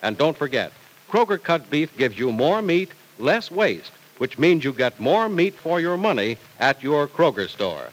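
American radio announcer with a lively swing. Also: male voice, fairly high pitch, a little slow, poor audio. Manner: emphatic, salesmanlike. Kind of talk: 1940s-50s scripted radio announcing and advertising.

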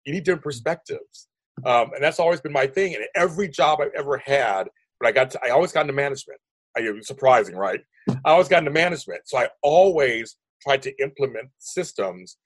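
A deep-voiced man in his fifties, a guest in an interview, talking quickly and emphatically. His voice is husky and slightly breathy, and the recording is dry with no room sound. He rambles and restarts his sentences. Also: lively intonation, no echo. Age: 50s. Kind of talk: animated conversational interview.

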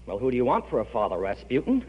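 A man's voice: medium pitch, huge pitch swings, fast. An actor playing an exasperated, sarcastic middle-aged father in a 1940s radio comedy, dry and weary. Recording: muffled, hissy, humming old radio broadcast.

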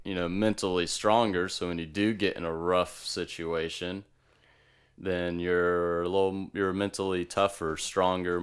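A young man co-hosting a casual podcast, thinking out loud in long, rambling explanations. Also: low voice, smooth. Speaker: podcast co-host. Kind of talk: casual chat.

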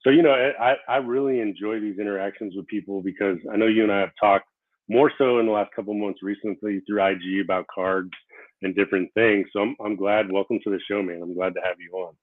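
Man, podcast host, relaxed rambling talk, dry phone-like sound. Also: fairly low pitch, quick pace, dry recording. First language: Korean. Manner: relaxed, rambling, frequent fillers. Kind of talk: casual interview chat. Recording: tinny phone-like line.

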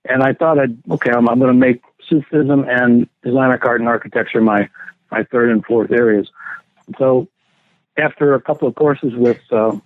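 An older man looks back on his past in an unhurried way, restarting his sentences and chuckling now and then. His voice sits in an ordinary middle pitch. The line is thin and phone-like, with a dry, close sound. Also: steady tone, no echo. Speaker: guest, theology professor. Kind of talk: relaxed reminiscing interview talk.

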